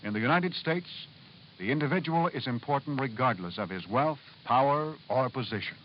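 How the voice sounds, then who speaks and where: A man's voice: medium pitch, expressive. Formal vintage radio announcer, male. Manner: formal, measured oratory. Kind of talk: scripted public-service narration, vintage radio. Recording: dull, faintly noisy vintage radio broadcast.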